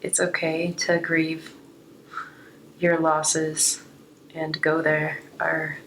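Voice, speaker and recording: Low-voiced woman, slightly hoarse tarot reader. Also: completely flat delivery, drawn-out words, fairly bright tone, a little hiss or hum, rough recording. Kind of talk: unscripted spiritual card reading, reflective.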